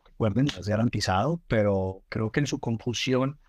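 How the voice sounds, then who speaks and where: A man co-hosting a talk show, speaking in a relaxed way and often chiming in with short words of agreement. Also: lowish voice, no room sound, studio quality. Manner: relaxed, quick interjections of agreement. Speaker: co-host.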